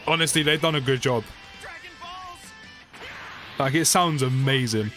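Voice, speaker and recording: A man's voice: medium pitch, measured pace, fairly bright tone. A man giving casual game update commentary in a chatty way, often repeating phrases for emphasis. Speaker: gaming video creator. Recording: music behind the voice. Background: music.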